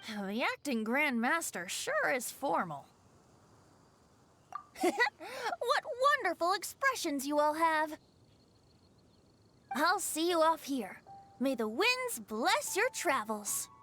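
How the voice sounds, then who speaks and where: A breezy young male bard from a fantasy game, speaks high and swoops freely through the phrases. The delivery is theatrical and teasing, full of whimsy. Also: sing-song, takes it slowly, pauses often, pushed and bright, slight background noise, exaggerated voice. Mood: playful, reassuring. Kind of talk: fantasy video game voice acting.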